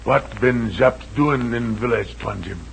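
An actor playing a loyal Malayan guide who speaks clipped, deferential pidgin English in a 1940s radio drama. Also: male voice, medium pitch, unhurried, gravelly. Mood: watchful, deferential. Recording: noisy old radio recording.

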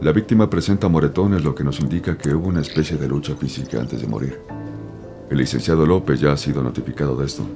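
Male forensic examiner, crime podcast drama; rumbling bass, quick, factual delivery. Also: music in the background. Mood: clinical. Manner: clinical, factual reporting. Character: clinical, matter-of-fact male forensic examiner. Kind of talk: scripted audio drama dialogue.

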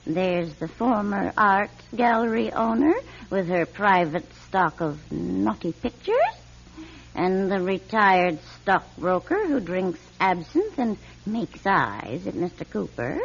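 Nosy smug older spinster in a radio play, husky creaky voice, sly and theatrical, kinda muffled recording. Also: female voice, medium pitch, animated, takes it slowly, exaggerated voice. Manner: sly, knowing, theatrical delivery. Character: nosy, smug older spinster who collects neighbours' secrets. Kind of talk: radio drama acting.

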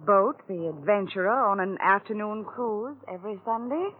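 A frank, controlling heiress in a 1940s radio drama. Her mid-pitched voice moves expressively with dry, knowing crispness. The recording is thin and narrow like old radio. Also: animated, normal speed. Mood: firm, frank. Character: shrewd, plain-spoken, controlling wealthy bride-to-be. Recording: tinny vintage radio recording.

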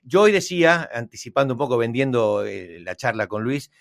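A man's voice: mid-range voice, very animated, normal speed, creaky, no room sound, studio quality. A man with an Argentine accent hosting a conversational interview, circling and rephrasing as he builds his questions. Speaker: show host, interviewer.

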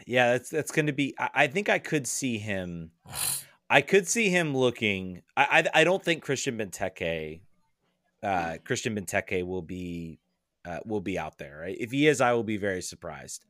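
A man with a fairly low voice talks through soccer analysis in a casual way. He is hesitant, often thinking aloud and hedging, and the sound is dry and close. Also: very animated, normal speed, fairly bright tone, no room sound. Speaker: podcast guest, soccer analyst. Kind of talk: casual sports discussion.

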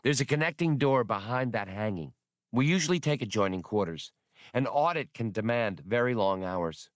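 A man's voice: medium pitch, animated, moderate pace, dry recording, clean studio sound, natural voice. A man voicing an earnest, worried professional woman in an audiobook, speaking in a proper, questioning way. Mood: thoughtful. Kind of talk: audiobook character dialogue.

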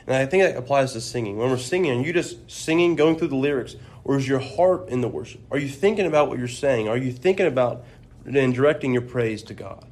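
A man's voice: medium pitch, brisk, a little mellow. A man co-hosting a podcast, talking through scripture in an earnest, thoughtful way, often restarting his sentences. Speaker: podcast co-host. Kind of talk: casual religious discussion.

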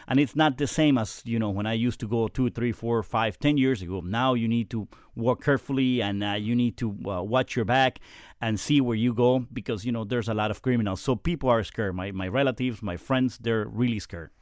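Ecuadorian guy as a studio guest, halting and unsure, dry mic. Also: medium pitch, dry recording. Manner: hesitant, with many fillers and restarts. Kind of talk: conversational interview answers. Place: radio studio.

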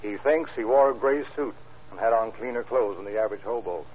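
An actor playing a weary, dryly gloomy small-town sheriff in an old-time radio crime drama. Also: male voice, fairly low pitch, a little fast, lightly acted. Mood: weary, grim. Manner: dry, grim, plain-spoken. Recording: muffled, hissy old radio recording.

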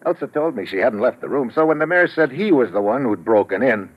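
An actor playing a sardonic, hard-boiled American investigator in a 1950s radio drama, wry and clipped. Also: male voice, mid-range voice, expressive, brisk, natural voice. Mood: explaining, assured. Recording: dull old radio recording.

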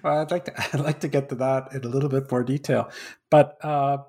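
Man, gravelly voice, polite interviewer, curious questions. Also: mid-range voice, steady tone, brisk, dark tone. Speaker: interviewer host.

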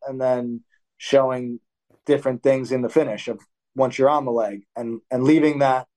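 A young man in a casual interview, speaking at an ordinary middle pitch. He explains coaching kids' wrestling in long, looping sentences, stopping to correct himself. His voice lifts when he acts out practice moments. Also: rough recording. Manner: rambling, self-correcting, animated when acting out drills. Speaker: wrestling coach and athlete, guest.

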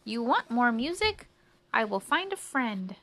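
A woman voicing a cheerfully oblivious storybook cricket, loud, chirpy and questioning. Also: high-pitched, big pitch swings, a little slow, voice pushed up, full character voice. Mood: oblivious, eager.

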